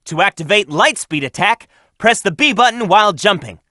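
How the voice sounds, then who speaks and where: Game hero role: cocky young cartoon hedgehog, high and lively, confident delivery. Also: male voice, no echo, studio quality. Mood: instructive, upbeat. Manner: brisk, confident, upbeat. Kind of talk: video game character voice acting, tutorial hints and quips.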